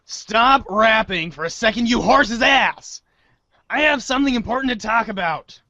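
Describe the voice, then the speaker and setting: A man acting an earnest, excitable, rambling teenage boy hero in a storybook-style reader's theater. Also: very high-pitched, normal speed, dry recording, lo-fi sound, heavily acted. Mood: urgent.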